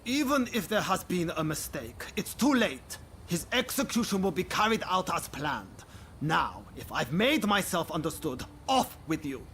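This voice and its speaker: Cutscene official: cold and curt, high-set forceful voice with animated pitch. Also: male voice, unhurried, a little hiss or hum, exaggerated voice. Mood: cold, stern. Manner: curt, haughty. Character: stern, dismissive male official refusing a plea. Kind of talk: video game cutscene acting.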